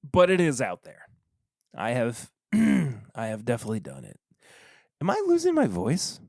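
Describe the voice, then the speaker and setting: A man hosting a casual solo podcast from his desk at home, talking in a rambling, halting way that often stops and restarts. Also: mid-range voice, wildly swooping pitch, creaky, darkish tone, no echo, clean studio sound. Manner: rambling, halting, self-interrupting. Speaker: podcast host reviewing whiskey.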